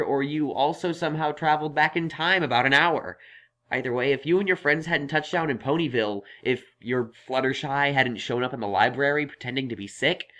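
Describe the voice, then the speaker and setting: A man's voice: fairly high pitch, a bit bright, close to an everyday voice. A man voicing a thoughtful, reassuring young male unicorn scholar in an audio story, explaining things earnestly. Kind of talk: acted audio story dialogue.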